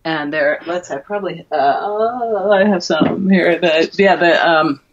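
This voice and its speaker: A middle-aged woman explaining things thoughtfully and haltingly as an interview guest, over a video call. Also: on the low side, noisy background. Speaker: guest, verse translator and classicist. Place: indoor room at home, video call. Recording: dull, lo-fi video call audio.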